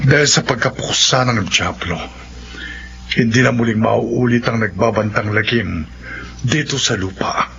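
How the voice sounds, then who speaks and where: An actor playing a resolute priest confronting evil, solemn and declamatory, in a radio horror drama. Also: male voice, mid-range voice, a little slow, creaky, slightly rough, heavily acted. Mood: solemn relief. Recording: muffled, loud dramatic music.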